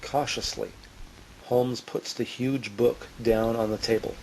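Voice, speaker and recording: A man's voice: medium pitch, steady tone, measured pace, darkish tone, a little hiss or hum, rough recording. A man narrating an audio drama mystery in a dramatic, scripted style.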